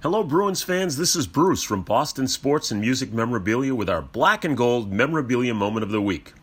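A man reading a brisk, scripted sales pitch for a commercial. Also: expressive, fairly bright tone, breathy edge. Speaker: advertiser. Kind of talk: read commercial.

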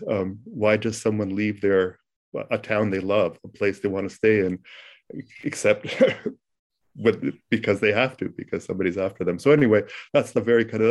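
A man's voice: on the low side, darkish tone. A middle-aged man whose first language was Ukrainian, speaking at a panel with many ums and restarts. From United States. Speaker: novelist guest. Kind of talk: panel discussion.

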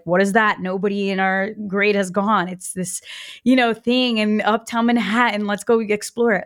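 A woman's voice: medium pitch, no echo. A woman in her late twenties, from Brazil and raised in the US, talks as a podcast guest. She tells her stories with energy and a lot of casual fillers. The recording is close and dry, with no room sound. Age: 20s. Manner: animated storyteller, lots of "like". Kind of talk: conversational podcast interview.

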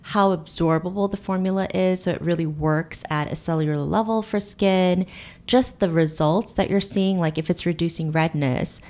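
A woman's voice: fairly low pitch, normal speed, darkish tone. A woman in her thirties, a company founder, answering interview questions fluently and earnestly, with many "you know"s and "really"s. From United States and Korea. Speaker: guest, beauty company founder.

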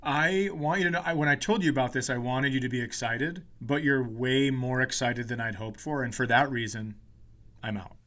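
A man's voice: mid-range voice, normal speed, a bit bright. A man co-hosting a comedy podcast, telling self-deprecating stories in casual, joking banter. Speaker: podcast co-host.

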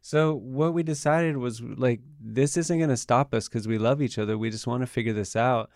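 A man as a podcast guest, explaining in an earnest, measured way. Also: medium pitch, darkish tone, no echo, studio quality. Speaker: guest, relationship coach. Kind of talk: casual interview chat.

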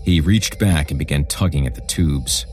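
A man narrating a scripted horror story in a dramatic, deliberate storytelling manner. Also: very deep voice, lively intonation, darkish tone, soft background music.

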